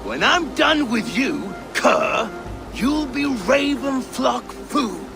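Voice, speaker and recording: A man's voice: extremely high pitch, lively intonation, slow pace, creaky, over music, heavily acted. An actor playing a boastful Danish warrior who taunts and mocks his rivals. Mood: taunting. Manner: scornful, taunting. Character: gruff boastful Danish warrior man, mocking. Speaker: rival warrior in a rhyming insult duel. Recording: game cutscene with loud ambience.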